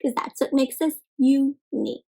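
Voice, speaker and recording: High-pitched woman reading to young children. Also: moderate pace, creaky. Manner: expressive, encouraging, asks the listener questions; addresses listeners as friends. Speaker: children's read-aloud host. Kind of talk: interactive storybook read-aloud for young children.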